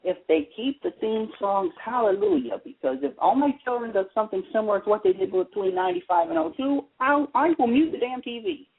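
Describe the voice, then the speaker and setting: A man's voice: extremely high pitch, sing-song, a little fast, creaky, hardly any pauses, breathy edge. A man calling in to a radio show, giving blunt, emphatic opinions in casual chat. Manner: blunt, emphatic, exasperated ranting. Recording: tinny phone line.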